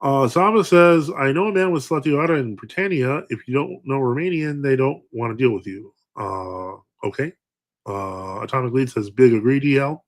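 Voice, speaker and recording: A man, addressed as a priest, hosting a live stream, reading chat comments aloud and answering them with frequent fillers and restarts. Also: mid-range voice. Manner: hesitant, many fillers and restarts.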